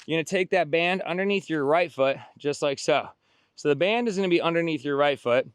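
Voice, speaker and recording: A man's voice: fairly high pitch, fairly quick, clear, resonant tone, no echo. A middle-aged man coaching a workout outdoors, cueing form, counting reps and cheering people on. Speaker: fitness instructor. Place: outdoors on a beach.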